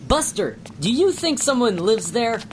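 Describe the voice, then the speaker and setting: Woman as a bemused, animated stranded starship captain. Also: fairly high pitch, a little slow, faint music behind. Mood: puzzled, resigned. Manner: casual, slangy. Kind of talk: amateur sci-fi radio play.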